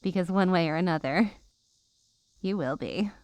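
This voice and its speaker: A woman's voice: fairly low pitch, normal speed, darkish tone, no echo, close to an everyday voice. A woman playing a possessive, menacing witch girlfriend in an ASMR roleplay, cooing and teasing with mock-innocent sweetness and veiled threats. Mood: possessive, gleeful.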